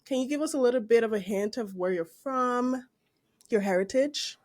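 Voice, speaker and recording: A woman's voice: fairly high pitch, normal speed, a little mellow. A woman hosting a casual interview podcast, bantering playfully with her guests and laughing often. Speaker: podcast host.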